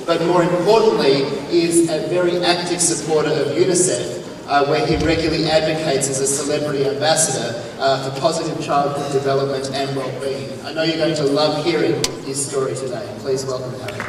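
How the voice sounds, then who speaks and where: A man's voice: a little high, fairly even intonation, a little fast, noisy background. A man introducing the next speaker at a conference in a formal announcing manner. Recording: echoey hall with crowd, far mic.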